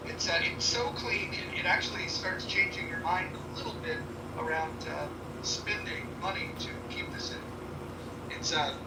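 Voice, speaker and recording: A man's voice: sits high, normal speed. A man speaking in casual chat, blunt and a little wry. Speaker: podcast panelist. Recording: distant mic, echoey room, loud noise.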